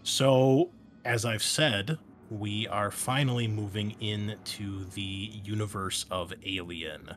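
A man's voice: fairly low pitch, slow pace, a bit bright, faint music behind. A man speaking as a podcast host and game master, giving a casual introduction to his channel. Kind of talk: casual scripted-outline introduction to a gaming channel.

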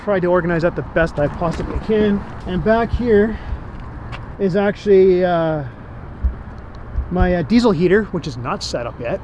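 A man's voice: sits high, moderate pace, a little mellow, lo-fi sound. A man chatting casually inside his parked minivan, rambling with many fillers and ending sentences with "right?". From Canada. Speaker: interviewee. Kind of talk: casual chat. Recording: vehicle and street noise behind. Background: outdoor traffic ambience.

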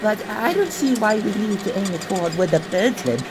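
A woman performing cartoon animal characters in an expressive comic dub. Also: mid-range voice, a little fast, background noise. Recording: voice under loud background music.

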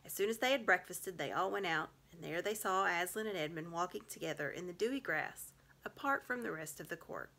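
A woman's voice: lowish voice, expressive, brisk, pushed and bright. A middle-aged woman reading a children's story aloud at home. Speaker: reader. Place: small home room, indoors.

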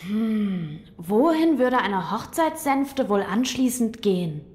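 A woman playing a gentle, thoughtful young noble boy in an audio drama, soft-spoken and formal. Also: medium pitch, sing-song, slow pace. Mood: pensive, resolved. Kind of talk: German audio drama acting.

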